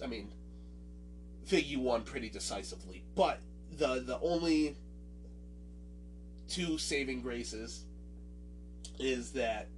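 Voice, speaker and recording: A man's voice: mid-range voice, distant mic, poor audio. A man hosting a podcast with a nasal voice and a swollen lip, talking casually and self-deprecatingly with many fillers and restarts. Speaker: podcast host. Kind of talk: casual solo podcast talk.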